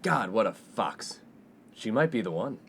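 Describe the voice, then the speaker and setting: A man's voice: on the low side, sing-song, a little slow, gravelly, fairly bright tone, heavily acted. A glib young college playboy played by an actor in a fifties-set radio comedy. His delivery is breezy and self-important, swinging freely up and down, with a little noise behind it. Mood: smooth, self-satisfied. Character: brash, self-absorbed, smooth-talking college playboy best friend. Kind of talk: comedic 1950s-set radio play acting. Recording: faint background noise.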